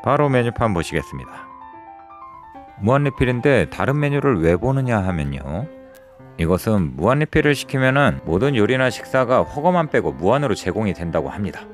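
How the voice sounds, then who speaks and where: A man narrating a restaurant review vlog in a lively, descriptive way, recorded in a busy barbecue restaurant. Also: on the low side, fairly quick, soft background music. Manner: lively, descriptive, chatty with friends. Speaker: food vlogger.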